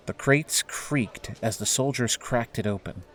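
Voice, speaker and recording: A man's voice: medium pitch, a little slow, background noise. A man reading scripted narration for an audio drama, in a storytelling style. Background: faint music.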